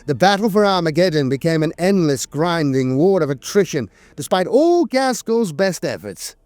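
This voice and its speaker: A man's voice: fairly high pitch, sing-song, normal speed, a little mellow, no room sound. A man narrating scripted lore in a dramatic, wry storytelling manner.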